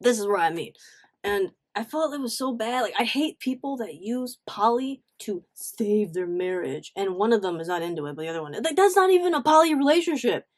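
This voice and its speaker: A woman talks casually, as if telling a long story to friends online. She is blunt and slangy, often breaking off for asides. Her delivery is animated and conversational. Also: a little high, a little fast. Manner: animated, blunt, swearing, acts out quotes. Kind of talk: casual story time vlog.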